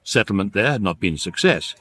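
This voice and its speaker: An actor playing a wry, deadpan British narrator of a space guide, delivering measured scripted comic narration for radio. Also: male voice, lowish voice.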